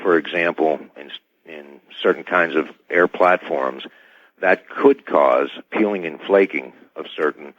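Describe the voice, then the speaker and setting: A middle-aged man giving formal, halting technical explanations as an expert guest in a radio interview, over a dull band-limited connection. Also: very deep voice, no room sound. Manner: hesitant, formal, technical wording. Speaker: expert guest, scientist and inventor.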